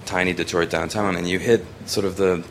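Young man from Hong Kong, deep low voice, loose rambling delivery over faint background noise. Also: fairly even intonation, brisk. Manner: rambling, many ums and restarts. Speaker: student photographer presenting his work. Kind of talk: casual spoken presentation.

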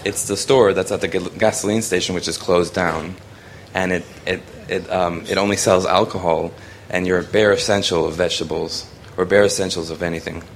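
Young man from Hong Kong, deep low voice, loose rambling delivery over faint background noise. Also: fairly even intonation, normal speed, few pauses. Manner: rambling, many ums and restarts. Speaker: student photographer presenting his work. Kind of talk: casual spoken presentation.

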